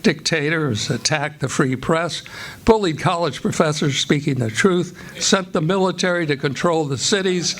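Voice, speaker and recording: A man's voice: fairly high pitch, a bit bright. An American man announcing in a formal, declamatory old-time radio style as host and director of a live theatre performance. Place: live stage performance before an audience.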